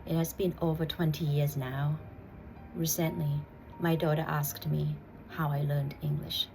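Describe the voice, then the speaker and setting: Slow, soft-spoken Thai woman. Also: deep voice, little pitch movement, gravelly, noisy background. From Thailand. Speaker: vlogger. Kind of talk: personal vlog with read-aloud passage.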